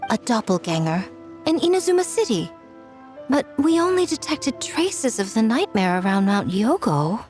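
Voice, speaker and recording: An actress voicing a sly, self-assured, playful schemer in an anime game, with a teasing, knowing manner. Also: female voice, a little high, very animated, normal speed, a little mellow, soft background music. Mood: uneasy.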